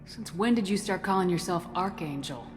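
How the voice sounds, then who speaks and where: Woman acting tough army commander lady in a game, kinda low voice, blunt, bit of music behind her. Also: animated, brisk, natural voice. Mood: surprised, probing. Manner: direct, clipped, commanding. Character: tough, no-nonsense female military commander. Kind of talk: video game dialogue acting. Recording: reverberant game audio with background music.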